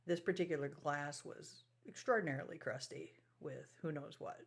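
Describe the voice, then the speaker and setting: Medium-pitched voice, casual how-to narration, folksy colloquial phrasing, restoration video host. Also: normal speed, gravelly.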